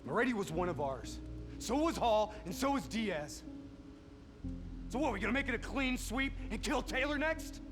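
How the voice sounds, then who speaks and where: Volatile high-pitched game soldier. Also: male voice, big pitch swings, normal speed, over music. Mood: bitter fury. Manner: sarcastic, swearing, forceful. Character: embittered, volatile, combative male soldier. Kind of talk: dramatic video game voice acting.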